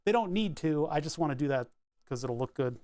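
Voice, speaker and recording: Brief: a rambling hobbyist streamer, a man with a slightly high voice, chatting at his workbench. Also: lively intonation, brisk, mellow, dry recording, clean studio sound. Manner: rambling and chatty, answers chat, breaks into laughs. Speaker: electronics streamer. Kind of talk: casual live-stream chat while building a circuit.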